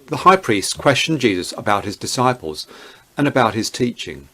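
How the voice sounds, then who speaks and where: A man serves as narrator for a dramatized scripture reading. His mid-pitched voice moves up and down in an animated way through the scripted narration. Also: moderate pace. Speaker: narrator, Bible reader.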